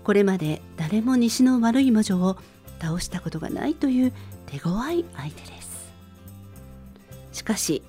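A woman's voice: medium pitch, dark tone. A woman host narrating a scripted story synopsis in radio-drama style, phrase by phrase with expression.

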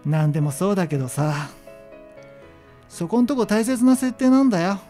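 Man playing a mellow, frank male art teacher. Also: high-pitched, normal speed, faint music behind. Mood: probing, frank. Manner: calm, casual, probing. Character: frank, gentle, encouraging male high school art teacher. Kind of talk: radio drama acting.

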